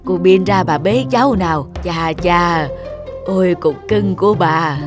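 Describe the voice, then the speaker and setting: A woman's voice: fairly low pitch, measured pace, music in the background, natural voice. Doting old granny played by a woman. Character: doting, frugal, old-fashioned elderly village grandmother. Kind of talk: cartoon dialogue.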